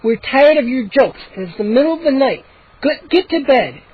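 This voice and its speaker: A man's voice: extremely high pitch, very animated, moderate pace, slightly rough, pitched above the performer's own voice, exaggerated voice. A man voicing a tired, exasperated mother who scolds her son in the middle of the night. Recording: dull lo-fi recording.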